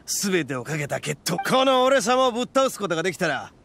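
A man voicing a brash, boastful young oni gang boss in an anime game, with cocky, blustering, rough street talk. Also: high voice, sing-song, a little fast, fairly bright tone, exaggerated voice. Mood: earnest.

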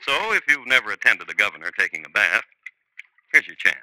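A man hosting and narrating a 1950s radio crime drama in a wry, deadpan, measured storytelling manner. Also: lowish voice, poor audio. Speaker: host and narrator. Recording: vintage 1950s radio broadcast.

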